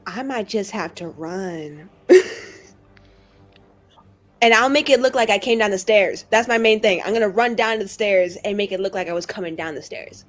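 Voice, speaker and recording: A woman's voice: mid-range voice, moderate pace, soft background music. A woman chatting casually as a player in a tabletop roleplaying game.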